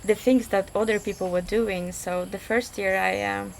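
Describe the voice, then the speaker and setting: A woman's voice: mid-range voice, fairly even intonation, moderate pace, gravelly. Polish accent young woman chatting in an interview, lots of uh and like, a bit of noise behind. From Poland. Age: 20s. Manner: hesitant, many fillers and restarts. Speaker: guest. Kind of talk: casual interview.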